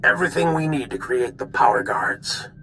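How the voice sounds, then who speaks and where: An actor playing a weary, grave older general who gives deliberate military briefings in a video game. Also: male voice, fairly high pitch, heavy background noise, natural voice. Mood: grave, confiding. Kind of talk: dramatic video game dialogue.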